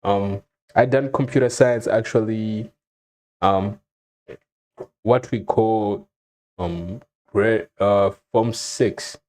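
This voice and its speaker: Relaxed young man from Zimbabwe. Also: on the low side, takes it slowly, long pauses, rough recording. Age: young adult. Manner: relaxed, frequent ums, chuckles while telling. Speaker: vlogger. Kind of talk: casual personal storytelling.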